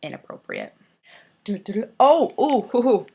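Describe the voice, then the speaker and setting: A woman's voice: a little high, huge pitch swings, moderate pace, vocal fry, warm tone, husky. A woman with a head cold, sniffling as she mocks a children's book in a sarcastic, profane casual commentary. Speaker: YouTuber.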